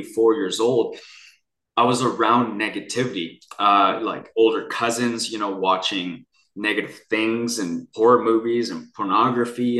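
A man's voice: fairly low pitch, sharp tone. A young adult man from Canada, telling his story as an interview guest in an earnest, rambling way with many restarts. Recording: echoey room.

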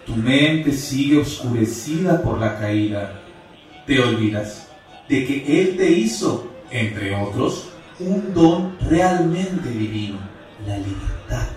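A man's voice: mid-range voice, lively intonation, slow, a little mellow. Guy doing a solemn pleading angel in a radio drama, preachy, super echoey and kinda far off. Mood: earnest, reproving. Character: solemn pleading male angel, God's messenger. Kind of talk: radio theatre acting. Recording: very echoey, distant, music underneath.

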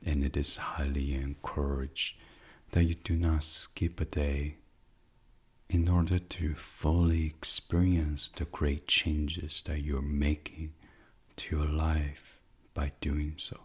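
A man leading a guided sleep meditation in a soft, soothing, hushed ASMR style, with long pauses and near-whispered phrases. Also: rumbling low, flat delivery, slightly rough.

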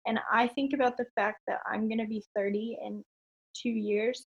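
A woman in her late twenties chats as a podcast guest, upbeat and talkative. Her voice sits a bit high for a woman. She fills pauses with ums and small laughs. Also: fairly even intonation, slightly rough, muffled recording. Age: 20s. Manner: upbeat, chatty, frequent ums and little laughs. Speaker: podcast guest, online entrepreneur. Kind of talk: casual interview chat.

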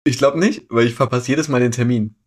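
A man's voice: mid-range voice, fairly quick. A man in his twenties chatting casually as a podcast co-host. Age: 20s. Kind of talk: casual chat.

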